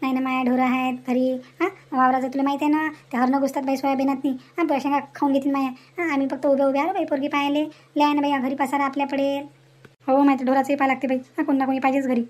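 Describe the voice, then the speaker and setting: Lady doing a bossy village cartoon woman, super high n fast, stubborn, varhadi accent. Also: little pitch movement, darkish tone, close to an everyday voice. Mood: chatty. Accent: Varhadi Marathi. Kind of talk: amateur cartoon comedy.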